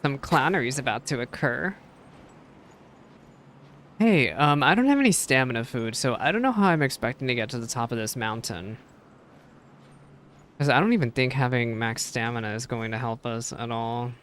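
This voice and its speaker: A man's voice: medium pitch, animated, moderate pace, pauses often, a bit bright. Casual male game streamer thinking aloud. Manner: self-deprecating, thinking aloud. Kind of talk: casual live gameplay commentary, reading game text aloud. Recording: stream with faint background noise.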